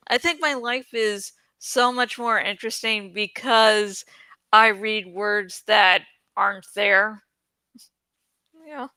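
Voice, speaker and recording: A woman's voice: on the high side, pushed and bright, dry recording. A woman reading a fantasy novel aloud as an amateur audiobook narrator, casual and given to jokey, self-teasing asides.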